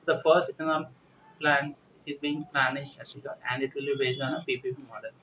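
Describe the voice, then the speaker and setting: A man's voice: mid-range voice, level tone, a little fast, fairly bright tone. A man from India with an Indian accent giving an informal, explanatory current affairs lecture as a teacher, repeating points instructively. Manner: repetitive, instructive, with "you know" tags. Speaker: exam-prep teacher. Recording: distant mic, muffled lo-fi, faint noise.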